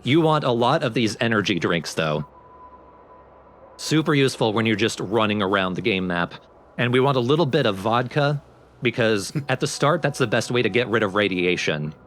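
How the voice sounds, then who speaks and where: A man's voice: fairly low pitch, lively intonation, moderate pace, fairly bright tone, slight background noise. A man hosting a let's play with casual, explanatory game commentary.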